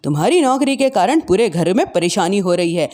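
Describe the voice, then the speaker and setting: A woman's voice: mid-range voice, very animated, brisk, a little mellow, pitched below the performer's own voice. A woman voicing a stern older mother-in-law who scolds and gives orders in a bossy, commanding way.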